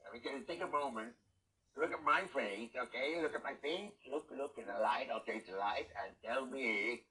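A man's voice: medium pitch, normal speed, sharp tone, distant mic, pitched above the performer's own voice, close to an everyday voice, rough recording. A man playing a crude, boastful, old-school male film producer who swears and blusters in a streetwise way. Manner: profane, blustering, streetwise swagger.

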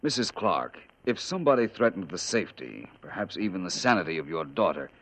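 A man's voice: sits low, very animated, a little fast, fairly bright tone, full character voice. An American man playing a wry, self-assured amateur detective in a 1950s radio drama, dry, teasing and articulate. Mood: calm, probing. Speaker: lead actor of detective series. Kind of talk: 1950 radio drama acting. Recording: dull old radio recording.

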